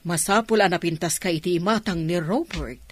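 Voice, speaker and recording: Husky, low-pitched stern mother played by a woman. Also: moderate pace, no echo, close to an everyday voice. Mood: coaxing, nagging. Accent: Ilocano. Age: middle-aged. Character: stern middle-aged mother pressing her daughter. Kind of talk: Ilocano radio drama acting.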